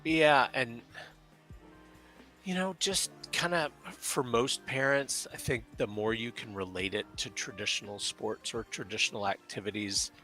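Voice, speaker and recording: A man's voice: mid-range voice, unhurried, sharp tone, faint music behind, poor audio. A man from Dallas, a business owner, talking as a guest in a casual interview and hesitating often as he talks. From Dallas, Texas. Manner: hesitant, many fillers and restarts.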